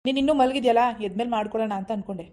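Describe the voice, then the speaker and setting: A man's voice: extremely high pitch, rapid-fire, a little mellow, pitched below the performer's own voice. A performer voicing a meek man caught between his wife and mother, speaking in a placating, pleading way in a comic family skit. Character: meek son and husband caught in the middle.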